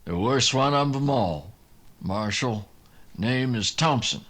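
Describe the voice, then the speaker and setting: A man as a slow-drawling Texan cowboy drifter. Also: mid-range voice, animated, a bit bright. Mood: earnest warning. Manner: folksy, drawling. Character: easygoing, boastful Texan drifter just sobered up. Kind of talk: old-time radio western acting.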